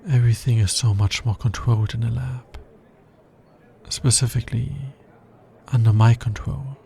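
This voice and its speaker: An anxious, logical alien scientist, acted by a man in an intimate ASMR roleplay. His voice is dark and creaky, and he talks very slowly, in careful, formal phrases that often halt. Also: mid-range voice, a little hiss or hum. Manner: hesitant, formal, halting.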